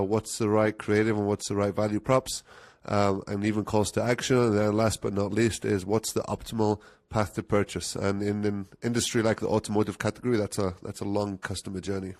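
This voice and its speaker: Lowish-voiced man from Algeria, relaxed. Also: no room sound. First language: Arabic. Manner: relaxed, explanatory, with fillers. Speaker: guest, marketing analytics head. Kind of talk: podcast interview.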